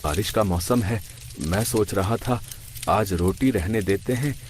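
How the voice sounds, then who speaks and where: Village family man, acted by a man, easygoing, low register, storybook drama, noisy recording. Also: unhurried, lightly acted. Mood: mild. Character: mild, easygoing village husband and father. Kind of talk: scripted audio story dialogue.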